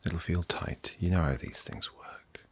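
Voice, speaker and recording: A British man doing a soft-spoken ASMR doctor roleplay, breathy and mostly whispered, with a gentle, reassuring, unhurried manner. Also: deep voice. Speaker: ASMR performer playing a doctor.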